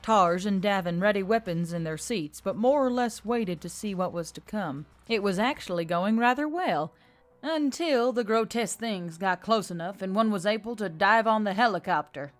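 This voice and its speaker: A woman's voice: mid-range voice, normal speed. Woman narrating dramatic fiction over music. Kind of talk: scripted dramatic story narration. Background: faint background music.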